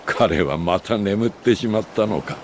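An older man acting a solemn, remorseful old sage in a Japanese video game cutscene, speaking gravely and with deliberate pauses. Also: on the low side, slight background noise, close to an everyday voice. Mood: calm. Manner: grave, measured, philosophical delivery with deliberate pauses.

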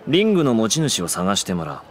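A man's voice: lowish voice, wildly swooping pitch, brisk, slight background noise. A man playing a polite but coolly menacing teenage boy in an anime audio drama, breezy and courteous. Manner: breezy, courteous while threatening.

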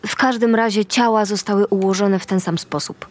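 A woman plays a junior police officer in a crime audio drama. Her voice is medium in pitch, and she reports in a dutiful, matter-of-fact way, deferring to a superior. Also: steady tone, fairly quick, lightly acted. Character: young female police detective, dutiful subordinate reporting.

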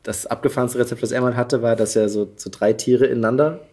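A man's voice: medium pitch, steady tone, quick pace, a little mellow, breathy edge. A man in a casual podcast chat, talking in an informal, chatty, colloquial way as the host.

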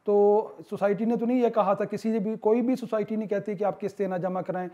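A man, a real estate consultant giving a persuasive promotional voice-over with many hesitant "uh" pauses. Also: high voice, steady tone, mellow.

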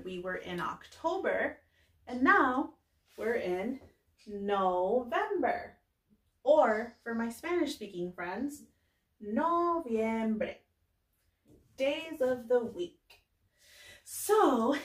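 Very slow-talking young woman teaching children. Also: fairly high pitch. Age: young adult. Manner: deliberate, pausing between short phrases, addressing children. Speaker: preschool teacher. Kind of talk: slow lesson for young children, filmed read-aloud greeting. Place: small indoor classroom-style room with bulletin board. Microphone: camera a few steps away. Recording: roomy echo, distant microphone.

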